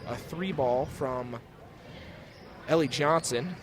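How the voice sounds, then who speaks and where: A young American man calling high school basketball as a live radio play-by-play announcer. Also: slow pace, a crowd in the background. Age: young adult. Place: indoor high school gym, courtside. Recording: noisy gym ambience. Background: bouncing basketballs, gym noise.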